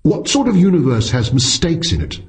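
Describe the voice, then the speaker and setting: A man's voice: on the high side, huge pitch swings, normal speed, pitched above the performer's own voice. Casting: blunt, questioning male tradesman, done by a male reader, moody and out of his depth, audiobook fiction. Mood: puzzled. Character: puzzled, moody, plain-spoken male craftsman, out of his depth.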